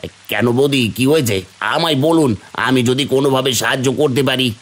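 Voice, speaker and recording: A man's voice: medium pitch, quick pace, a bit bright, close to an everyday voice. A man voicing a humble, sympathetic village servant in a cartoon, speaking earnestly and deferentially. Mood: concerned. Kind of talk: Bengali fairy-tale cartoon voice acting.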